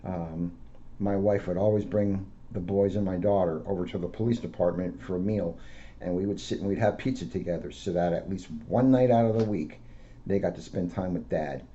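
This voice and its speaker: A man's voice: deep voice, moderate pace, warm tone. A middle-aged American man, a school police officer, reading aloud and talking informally to schoolchildren. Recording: dull lo-fi audio with faint noise.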